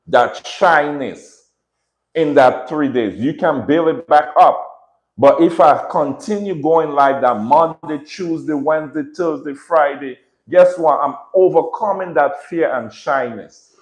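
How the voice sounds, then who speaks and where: A man from the Caribbean with a Caribbean accent, hosting a casual livestream from home. He talks in an earnest, reflective way, repeats phrases and often checks in with "right?". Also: fairly high pitch. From Dominica, raised in Saint Martin. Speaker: livestream host and dog breeder. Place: room at home with dogs. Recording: echoey room.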